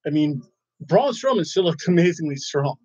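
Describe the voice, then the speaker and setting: A man hosting a casual wrestling review, rambling and excitable, often restarting his sentences. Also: a little high, moderate pace, slightly rough. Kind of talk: casual chat. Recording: dull lo-fi recording.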